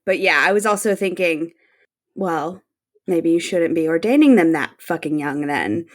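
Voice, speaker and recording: A woman's voice: fairly low pitch, lively intonation, moderate pace. A woman co-hosting a casual fan podcast in an informal, wry way, with some casual swearing. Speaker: podcast co-host.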